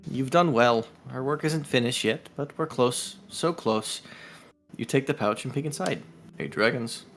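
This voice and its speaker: A man's voice: mid-range voice, a little slow, creaky, a bit bright, faint music behind. A man reading visual novel narration and dialogue aloud on a live stream, with casual asides.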